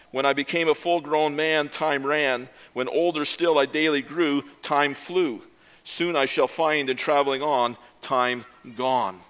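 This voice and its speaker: An older man, a pastor, giving a measured, reflective sermon with occasional hesitations. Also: medium pitch, a bit bright.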